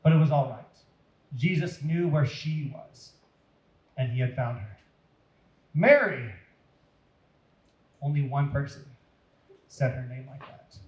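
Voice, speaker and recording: A man's voice: medium pitch, fairly even intonation, measured pace, long pauses, warm tone, echoey room, muffled recording. A man with an American accent reading expressively and explaining things simply as a children's storyteller.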